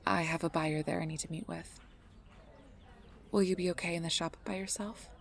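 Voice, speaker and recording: A woman's voice: on the low side, fairly even intonation, normal speed, creaky, background noise. A woman playing an obsessive, sweet-talking fey lover in an ASMR roleplay, speaking intimately and often breathily. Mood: chatty, easy. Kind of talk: ASMR roleplay, close personal attention.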